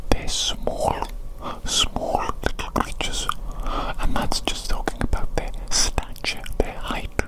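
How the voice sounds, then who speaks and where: A mad evil doctor, acted out by an ASMR performer speaks in a hushed whisper. The delivery is very slow and repetitive, fussing tenderly like a doting creator, with scorn for ordinary humans. It is a medical roleplay meant for sleep. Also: close to an everyday voice, poor audio. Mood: doting, concerned.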